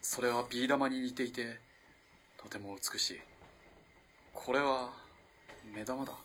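An actor playing an earnest, lovestruck young student in an early twentieth-century Japanese radio play, halting and moving between hushed and impassioned delivery. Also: male voice, medium pitch, slight background noise, lightly acted. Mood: earnest, reasoning. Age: young adult. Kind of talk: dramatic radio play acting.

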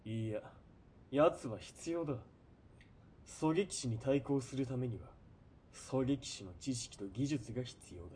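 A man playing a ruthless, greedy military police commander in a period radio drama, domineering and sneering, moving between cold murmurs and shouting. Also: mid-range voice, unhurried, a little hiss or hum, close to an everyday voice. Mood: cold, calculating.

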